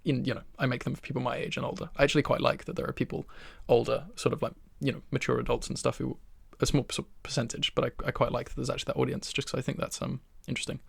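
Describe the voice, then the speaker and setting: A man of twenty-one chatting in a soft-spoken ASMR style in his bedroom, rambling and self-deprecating with soft laughs, close to the microphone. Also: medium pitch, level tone, racing, vocal fry, hardly any pauses, slightly rough, no echo. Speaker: ASMR creator.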